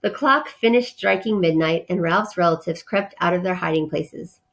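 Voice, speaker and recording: A woman's voice: lowish voice, normal speed. A woman reading a children's story aloud, informally, with casual asides and simple explanations.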